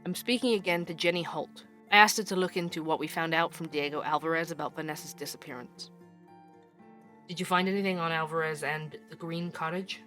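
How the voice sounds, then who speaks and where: Investigative journalist, very low voice, probing, measured, audio drama podcast, light music behind. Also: female voice, fairly bright tone. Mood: curious, friendly. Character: probing, composed young investigative journalist doing interviews.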